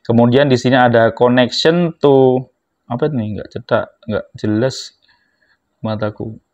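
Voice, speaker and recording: A man's voice: medium pitch, steady tone, measured pace, darkish tone. A man speaks in a dull, lo-fi recording, as in a homemade review video. He talks informally to his viewers, explaining things step by step in a casual unboxing style. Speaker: product reviewer, vlogger. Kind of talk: casual unboxing and product demonstration.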